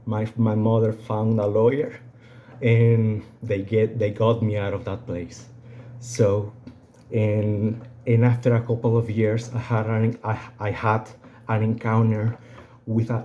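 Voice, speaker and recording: Dark-voiced young Venezuelan man, halting delivery. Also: on the low side, highs cut off, a little hiss or hum. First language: Spanish. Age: young adult. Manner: halting, repeats phrases. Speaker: video creator giving personal testimony. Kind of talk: unscripted personal testimony to camera.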